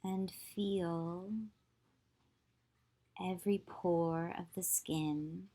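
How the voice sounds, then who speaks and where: A woman yoga teacher leading a guided visualization meditation, giving slow, soothing instructions with long pauses. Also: fairly low pitch, steady tone, dark tone.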